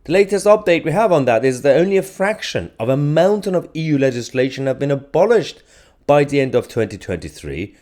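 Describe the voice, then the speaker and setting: A man's voice: fairly high pitch, big pitch swings, brisk, darkish tone. A man hosting an online news channel, delivering opinionated commentary in emphatic bursts. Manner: emphatic, rising and falling in bursts.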